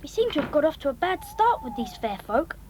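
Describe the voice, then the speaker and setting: A woman's voice: high voice, sing-song, fairly quick, background noise. A woman voicing a sensible, wry schoolboy in a children's audio drama.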